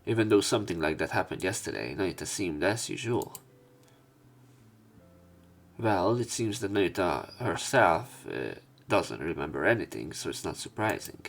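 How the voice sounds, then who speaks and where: A man reading visual novel text aloud as a let's play narrator, in a somewhat halting manner. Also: low voice, lively intonation, creaky. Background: game music.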